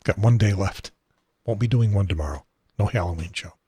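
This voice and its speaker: Host, male, 70, American. Husky, somewhat low voice, dry close sound, halting unscripted delivery. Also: dark tone, no room sound. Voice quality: husky, unsteady voice. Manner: rambling, halting, wry. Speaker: talk show host. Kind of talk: casual unscripted solo talk show.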